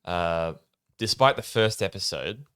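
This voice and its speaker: A young man chats loosely on a podcast, filling pauses with ums and easy laughter. His voice sits very low and comes through smooth and resonant. The sound is dry and close, with no room around it. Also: dry recording. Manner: relaxed, rambling, many ums and laughs. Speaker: podcast co-host. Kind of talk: casual chat. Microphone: studio mic.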